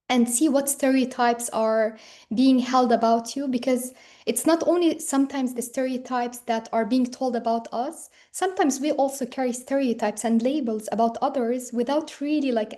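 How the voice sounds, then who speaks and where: Young woman guest on a webinar call, mellow kinda high voice, earnest, says like a lot. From Palestinian and Ukrainian background. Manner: earnest, reflective, fillers with "like". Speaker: guest speaker. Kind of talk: webinar interview answers.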